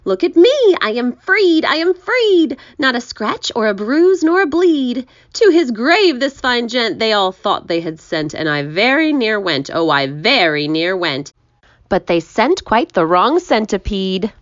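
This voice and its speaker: A woman's voice: on the high side, big pitch swings, unhurried, dull audio. A woman voicing a boisterous, boastful male centipede in a children's storybook, exclaiming and singing out gleefully. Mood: triumphant, boastful.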